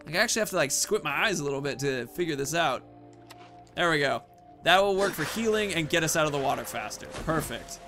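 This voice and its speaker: A man's voice: fairly high pitch, lively intonation, quick pace. A man hosting a gaming video, giving casual commentary and thinking aloud about his moves and choices. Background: video game music.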